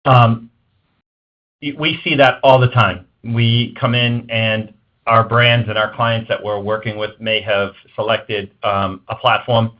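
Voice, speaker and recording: A man's voice: mid-range voice, little pitch movement, unhurried. A man speaking as an executive guest in an interview, giving emphatic, conversational advice. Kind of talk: interview answers on marketing. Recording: dull lo-fi band-limited audio.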